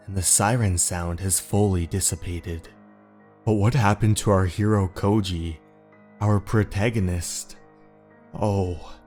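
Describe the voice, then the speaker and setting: A man narrating a horror story in a slow, dramatic, brooding way. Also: low voice, dark tone.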